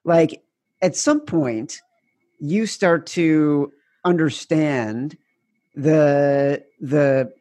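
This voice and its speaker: Higher-voiced male interviewer, dry sound. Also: extremely slow, a little mellow, no room sound. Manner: casual, says "like" often. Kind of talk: conversational interview.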